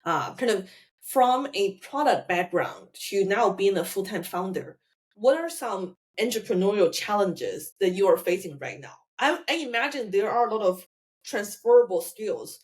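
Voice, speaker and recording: A woman's voice: medium pitch, sing-song, normal speed. A woman hosting a podcast, asking friendly, encouraging questions with some fillers. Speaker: podcast host. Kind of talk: interview questions.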